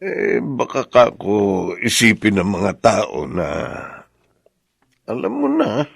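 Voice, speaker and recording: Humble, hesitant farmer, lively intonation. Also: male voice, lowish voice, takes it slowly, creaky, slightly rough, no echo, clean studio sound, heavily acted. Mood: doubtful. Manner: hesitant, earnest. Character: humble, unsure middle-aged farmer, loyal childhood friend. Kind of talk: radio drama dialogue.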